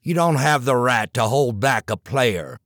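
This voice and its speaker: A man's voice: mid-range voice, lively intonation, measured pace, fairly bright tone, no echo, clean studio sound. A man reading the part of a male assistant coach, controlled and defiant.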